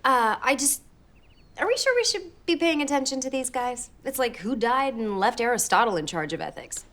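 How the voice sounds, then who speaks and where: A woman's voice: on the high side, expressive, normal speed, a bit bright. A woman in a sitcom scene, talking in a scheming, dramatic way. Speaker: sitcom character. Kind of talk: sitcom acting.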